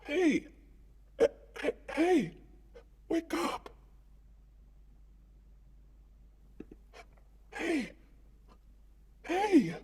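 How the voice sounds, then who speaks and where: A woman's voice: very high voice, very animated, very slow, darkish tone, pitched above the performer's own voice, exaggerated voice. A woman playing a friendly, anxious, awkward ghost in a visual novel, stammering apologetically. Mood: urgent, panicked. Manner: flustered, hesitant, apologetic stammering. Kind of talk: visual novel character acting.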